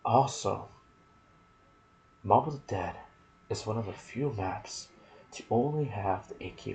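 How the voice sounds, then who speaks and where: Slow, low-voiced man doing ASMR game commentary. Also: darkish tone, low-quality recording. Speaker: video game lore narrator. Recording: clear background noise.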